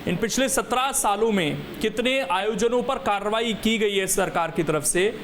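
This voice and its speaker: Man, high-pitched voice, news debate moderator, pressing questions, interjecting, slight background noise. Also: moderate pace.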